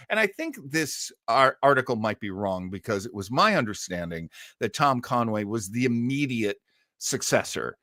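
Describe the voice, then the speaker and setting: A man's voice: mid-range voice, a bit bright, dry recording, clean studio sound. A man hosting a live stream in a casual, chatty way, moving between reading aloud and wry asides of his own. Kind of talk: casual live commentary on old-time radio, reading an article aloud.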